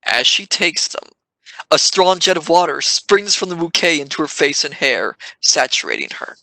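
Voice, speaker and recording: A man's voice: a little high, lively intonation, normal speed, vocal fry, pushed and bright, no echo, low-quality recording. A man reading scripted scene narration for an audio drama.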